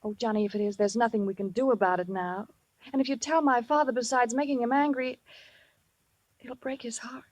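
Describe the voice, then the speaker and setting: Woman playing young daughter, rather high voice, light, expressive, coaxing, 1950s radio drama recording. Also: fairly quick, dry recording, lightly acted. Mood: pleading. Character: playful, pleading young woman, daughter of the household.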